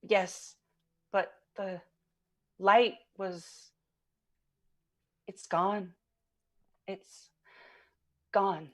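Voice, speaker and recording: An actress playing a haunted, enraptured woman recounting a dream in a halting, wistful monologue. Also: medium pitch, steady tone, fairly bright tone. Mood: bereft, subdued. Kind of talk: dramatic interview-style monologue.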